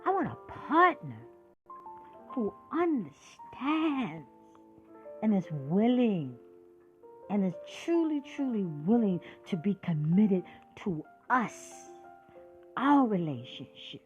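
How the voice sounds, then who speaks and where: A sixty-year-old woman talking with real passion, her voice swinging high and low as she drives each point home. The sound is a little dull and lo-fi, with faint music underneath. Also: takes it slowly. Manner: emphatic, preachy, lively rises and drops. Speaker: podcast host. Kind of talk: passionate monologue.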